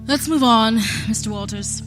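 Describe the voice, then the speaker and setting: A woman playing a brisk, no-nonsense 1970s special agent in an audio drama, businesslike, curt and formal. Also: fairly high pitch, over music, lightly acted, low-quality recording. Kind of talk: acted witness interview in audio drama.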